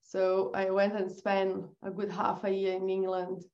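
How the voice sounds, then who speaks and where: A woman's voice: mid-range voice, completely flat delivery, unhurried, darkish tone. Chatty Brazilian woman, muffled sound. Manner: chatty, laughs often, restarts. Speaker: guest, agency founder. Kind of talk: casual podcast interview.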